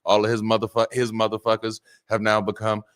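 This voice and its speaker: Deep-voiced man preaching with humor. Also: a little fast, clean tone. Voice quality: naturally deep voice. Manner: lively, joking, repeats words for emphasis. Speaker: pastor. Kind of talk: sermon.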